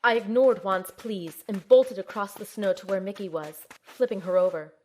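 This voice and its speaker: Young heroine played by a woman narrating audio drama, earnest and determined, medium pitch, measured storytelling. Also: darkish tone, a little hiss or hum, natural voice, poor audio. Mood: tense, urgent. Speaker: narrator and lead character. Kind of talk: scripted first-person audio drama narration.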